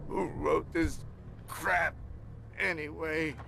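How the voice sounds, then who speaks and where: An actor playing a bitter, agitated man in a video game, speaking in halting bursts. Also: on the high side, fairly even intonation, vocal fry, full character voice. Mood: bitter, angry. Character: bitter, agitated man raging at being made the villain. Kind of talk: dramatic video game acting. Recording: noisy ambience behind the voice.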